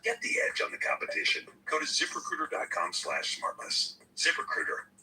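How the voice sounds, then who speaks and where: Bright, very animated male podcast host. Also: a little high, brisk, far from the mic, slight background noise, poor audio. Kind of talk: sponsor read, partly scripted, with banter.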